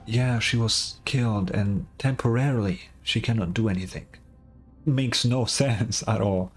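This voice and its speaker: A man's voice: on the low side, a little slow, slightly rough, faint music behind. Casual, stammering male game streamer. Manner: hesitant, stammering repeats of words. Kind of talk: casual live reaction commentary.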